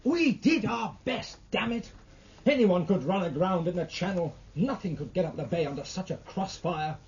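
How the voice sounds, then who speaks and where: An actor playing an anxious, testy British naval captain in a 1950s radio drama, speaking in a formal, challenging manner. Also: male voice, high-pitched, expressive, normal speed, darkish tone. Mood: testy, defensive. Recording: muffled, noisy vintage radio recording.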